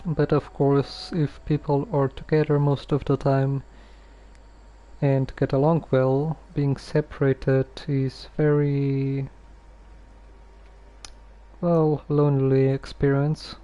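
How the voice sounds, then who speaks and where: A man giving casual game commentary as a streamer, musing aloud in a halting way. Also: medium pitch, flat delivery, dark tone.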